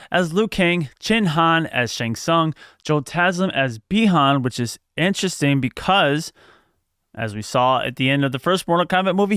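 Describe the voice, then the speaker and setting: A man's voice: on the high side, a bit bright, clear, resonant tone, no echo, studio quality. A man hosting a video, giving casual news commentary with opinions and frequent conversational asides.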